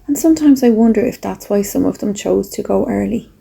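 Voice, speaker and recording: A woman speaking softly and reflectively with pauses, in a breathy, husky voice, as she leads a gentle guided meditation. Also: medium pitch. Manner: slow, soft, reflective, with pauses.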